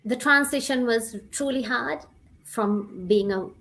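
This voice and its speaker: A woman's voice: a little high, measured pace, fairly bright tone, low-quality recording. A woman in her forties, originally from Sri Lanka, telling her personal story hesitantly, with many ums and uhs. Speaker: guest sharing her story. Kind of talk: personal testimonial.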